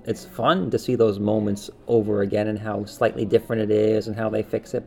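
A man's voice: medium pitch, mellow. A man co-hosting a podcast in casual, opinionated chat, informal and enthusiastic. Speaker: podcast co-host.

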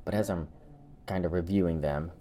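A man co-hosting a podcast in casual chat, speaking emphatically and haltingly with repeated words as he reviews. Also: low voice, steady tone, crowd noise. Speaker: podcast co-host.

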